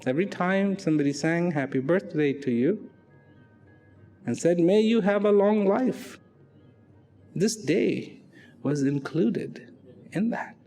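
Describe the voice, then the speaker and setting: A man giving a reflective public talk, slow and emphatic, swinging from loud declarations to hushed, almost whispered lines. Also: high voice. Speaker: speaker. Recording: long hall reverb with faint music.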